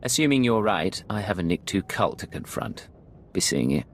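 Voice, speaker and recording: Low-voiced, cool secret agent. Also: male voice, sing-song, normal speed, a little hiss or hum. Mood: dry, confident. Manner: terse, cool, self-assured. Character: cool, confident male imperial secret agent. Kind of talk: video game voice acting.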